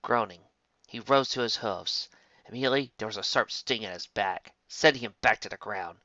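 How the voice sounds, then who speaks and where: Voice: mid-range voice, normal speed, bright, forceful tone, no echo, lo-fi sound. A narrator reading scripted fiction aloud as an audiobook.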